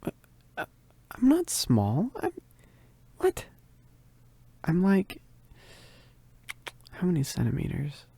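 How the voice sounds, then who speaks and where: A performer voicing a shy, needy, affectionate young werewolf roommate in a roleplay ASMR, with soft, breathy, halting, giggly speech that often drops to whispers. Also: mid-range voice, wildly swooping pitch, dry recording, exaggerated voice. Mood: flustered. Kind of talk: roleplay ASMR, intimate one-sided dialogue.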